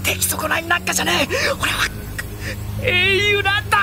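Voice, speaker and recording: A man acting a petulant, insecure young nobleman in an anime audio drama, brash and rough-spoken. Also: extremely high pitch, steady tone, normal speed. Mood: angry, wounded. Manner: brash, rough-spoken, whining when cornered. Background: background music.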